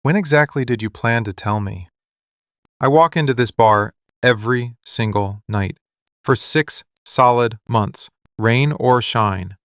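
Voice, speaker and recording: Male actor as possessive mafia boss, audio roleplay. Very unhurried, gravel-edged, deliberate interrogator with a wounded streak. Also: medium pitch, a bit bright, dry recording, clean studio sound. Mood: intense, accusing. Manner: deliberate, clipped, interrogating delivery. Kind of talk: boyfriend ASMR roleplay acting.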